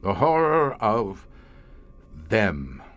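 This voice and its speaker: A low-voiced, middle-aged inspector carries a radio horror play. He talks slowly and broodingly, with big swings of pitch and dramatic pauses, like a learned man who has guarded a terrible secret for years. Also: big pitch swings, a bit bright, faint music behind, full character voice. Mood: ominous, haunted. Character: grave, haunted police inspector, former professor, guarding secret horror. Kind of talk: audio horror drama in old-time radio manner.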